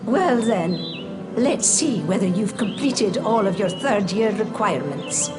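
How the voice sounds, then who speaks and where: Stern older witch schoolmistress, formal n prim, game dialogue over loud music. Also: medium pitch, lively intonation, takes it slowly. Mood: approving. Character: stern but fond older female witch professor. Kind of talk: video game voice acting.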